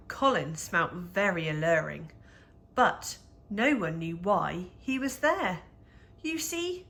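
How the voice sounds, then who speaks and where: Bright-voiced woman reading a children's story slowly. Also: fairly low pitch. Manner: measured, animated storytelling with dramatic emphasis. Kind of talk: expressive picture-book read-aloud in rhyming verse.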